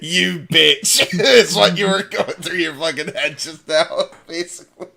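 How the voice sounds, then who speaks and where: A man's voice: fairly high pitch, measured pace, vocal fry, a crowd in the background. A man voice actor chatting and joking on a livestream, swearing freely and stammering into his sentences. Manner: heavy casual swearing, stammering starts. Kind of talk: casual chat with joking banter.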